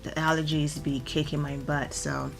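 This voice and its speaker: A hoarse woman, nearly losing her voice, chatting through celebrity gossip as a vlogger in a rambling, filler-heavy way. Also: deep voice, level tone, normal speed, soft background music. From Atlanta, Georgia. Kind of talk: casual gossip commentary.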